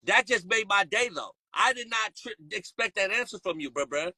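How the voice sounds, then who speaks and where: A man's voice: high-pitched, animated, normal speed, bright, forceful tone, dry recording. A man hosting a casual podcast chat, talking in loose slang, rambling and laughing often. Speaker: podcast host.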